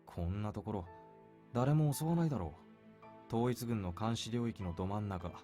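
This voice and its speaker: Male actor as bored visual-novel guard: extremely quick, slightly low, dry, blunt skeptic. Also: lively intonation, warm tone, close to an everyday voice. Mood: dismissive. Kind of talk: visual novel anime-style voice acting. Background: game background music.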